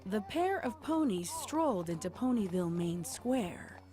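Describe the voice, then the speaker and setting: A woman reading scripted story narration as the narrator of a dark tale. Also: medium pitch, sing-song, measured pace. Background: music.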